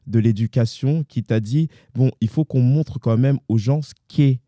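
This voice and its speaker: Guy doing an interview, really muffled-warm dark voice, no room sound, kinda halting long questions, agrees a lot. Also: mid-range voice, dry recording. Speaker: interviewer, host. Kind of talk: conversational interview.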